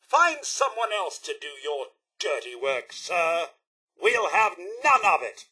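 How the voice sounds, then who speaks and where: Prim, high-voiced British robot butler. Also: male voice, sing-song, slow pace, pushed and bright, breathy edge, exaggerated voice. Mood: politely disapproving. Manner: fussy, formal, theatrically well-mannered. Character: prim, loyal male robot butler, polite and easily scandalized. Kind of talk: video game character voice lines.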